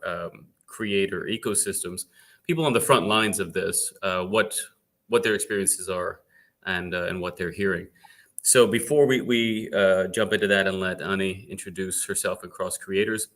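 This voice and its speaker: A man hosting an online fireside chat interview, talking haltingly and often repeating words as he introduces the guest. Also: low voice, sharp tone. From United States. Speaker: interview host.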